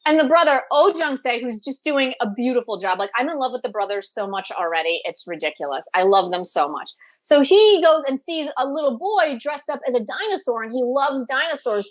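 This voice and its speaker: A woman's voice: sits high, rough recording. A woman co-hosting a casual, opinionated review chat, gushing and emphatic, often repeating phrases for emphasis. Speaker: co-host of a drama review show.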